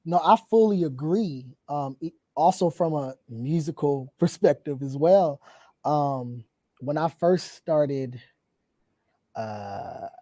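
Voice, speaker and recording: A young man who leads worship singing, talking earnestly on a panel, with a rough voice and frequent ums. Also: a little high, warm tone, no echo, clean studio sound. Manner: earnest, reflective, many ums. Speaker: church worship leader and singer. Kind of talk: casual panel chat.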